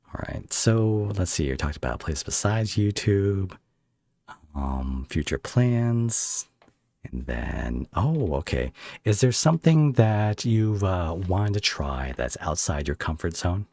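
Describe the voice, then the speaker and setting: A man hosting a casual interview chat, often halting as he thinks aloud. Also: low voice, big pitch swings, gravelly, a little mellow. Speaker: podcast host and interviewer.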